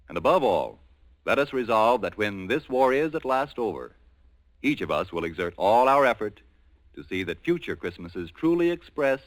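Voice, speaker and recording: Male 1940s radio sponsor announcer, deepish, solemn, very expressive intonation, dry sound. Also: no room sound. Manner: solemn, measured oratory.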